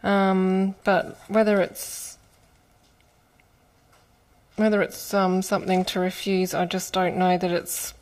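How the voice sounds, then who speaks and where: Female mayor chairing council, steady flat tone, Australian accent, desk mic. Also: medium pitch. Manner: brisk procedural, then hesitant in debate. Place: large council chamber. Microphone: desk microphone.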